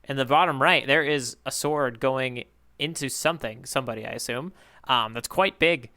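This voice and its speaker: A man's voice: medium pitch, normal speed, sharp tone. A man co-hosting a podcast in a casual, rambling, playful way. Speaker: podcast co-host. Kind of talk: casual banter about manga.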